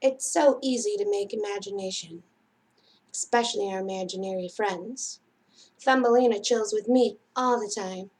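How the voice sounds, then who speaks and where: A woman's voice: medium pitch, normal speed, darkish tone. A woman hosting a story time for young children, speaking in a sing-song way directly to them as a storyteller. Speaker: children's library storyteller and host.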